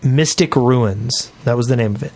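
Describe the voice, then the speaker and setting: A man's voice: mid-range voice, normal speed, a little mellow. A man co-hosting a casual gaming podcast, talking emphatically, arguing his point and repeating himself for stress. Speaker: podcast co-host.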